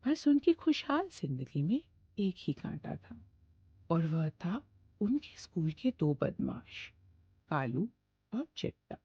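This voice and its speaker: A woman's voice: lowish voice, big pitch swings, takes it slowly, warm tone, breathy edge, dry recording, dull audio. A woman narrating a children's cartoon story.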